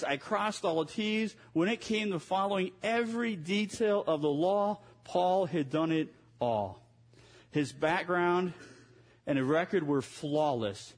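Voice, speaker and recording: A man preaching a sermon in a conversational way, mixing scripture reading with self-mocking asides. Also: on the high side. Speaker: pastor.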